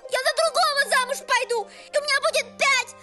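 An actress voicing a fiery, headstrong sixteen-year-old village maiden in an animated film, girlish and indignant. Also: very high-pitched, music in the background. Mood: indignant, hurt. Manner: indignant, fast-escalating, girlish.

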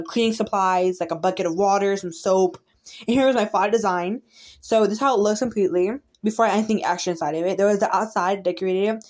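A woman's voice: mid-range voice, darkish tone. A woman YouTuber narrating a casual game walkthrough in a chatty, rambling way, often restarting her sentences while filming a tablet screen with her phone.

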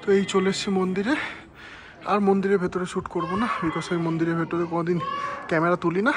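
A man's voice: high-pitched, little pitch movement, fast talker. A man chatting casually to viewers in a travel vlog, giving tips as he goes. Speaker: travel vlogger. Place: car and outdoor sightseeing spots. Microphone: phone held by the speaker. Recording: phone recording with loud road and outdoor noise.

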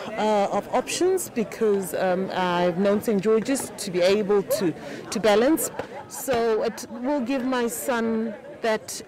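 Female parent interviewee, very unhurried, outdoors with crowd chatter. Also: medium pitch. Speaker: visiting parent. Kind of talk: interview answer. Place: outdoors on school grounds. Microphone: handheld mic with furry windscreen.

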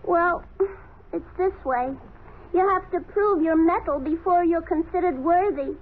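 Eager lil boy jackknife, really high voice, crackly tinny old radio play. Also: measured pace. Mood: wistful. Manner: earnest, boyish, slangy. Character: eager, wistful young talking jackknife, boyish. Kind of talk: 1940s radio acting. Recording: thin, noisy 1940s radio recording.

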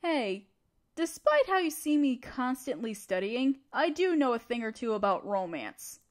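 A woman's voice: a little high, sing-song, unhurried. A woman playing a young female student, a teasing but encouraging study partner, in an intimate audio roleplay addressed to the listener. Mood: studious, wistful. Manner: teasing, conversational, affectionate.

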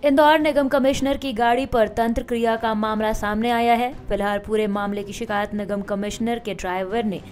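A woman's voice: a little high, moderate pace, few pauses. Woman reading news over faint music. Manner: formal, steady newsreader delivery. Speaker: news anchor. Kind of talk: scripted news narration.

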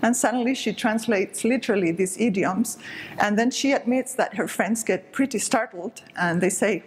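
A woman's voice: medium pitch, normal speed, rough recording. A middle-aged woman from southern Spain, giving an explanatory, emphatic stage talk to an audience. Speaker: speaker. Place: dark stage with curtain.

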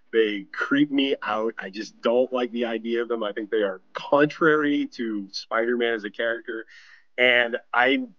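A man's voice: mid-range voice, fairly bright tone, no echo. A man being interviewed, casually telling a story about his work. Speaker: interview guest, animation professional. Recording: band-limited, dull audio. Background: faint music.

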